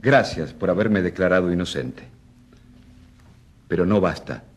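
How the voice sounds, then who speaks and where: An actor playing an earnest, crusading rural priest, giving impassioned, deliberate oratory in a radio drama. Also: male voice, sits low, expressive, slightly rough, full character voice. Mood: impassioned, indignant.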